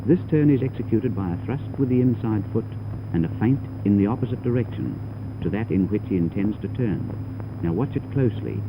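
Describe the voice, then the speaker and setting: A man's voice: fairly low pitch, lively intonation, brisk, muffled recording. A man giving formal, instructive scripted narration for a 1930s training film, on a noisy old soundtrack. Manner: formal, didactic.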